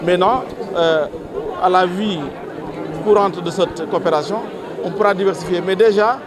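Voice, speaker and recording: Male technical official, markedly high pitch, methodical formal statement, busy public space. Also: normal speed, a crowd in the background. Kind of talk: explanatory press statement.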